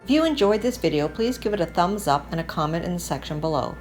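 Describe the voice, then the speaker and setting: A woman's voice: fairly low pitch, lively intonation, fast, darkish tone. A woman reading a humorous story aloud as a storyteller, in scripted narration. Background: music.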